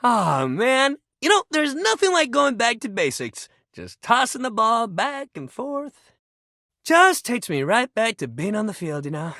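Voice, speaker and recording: Friendly jock dude for a video game, super expressive pitch all over the place, bro-y and soft-hearted, really dry studio sound. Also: male voice, unhurried, no echo, full character voice. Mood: wistful. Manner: casual bro-like talk, emphatic and expressive. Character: upbeat, friendly, sensitive young male sports jock. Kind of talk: video game character dialogue.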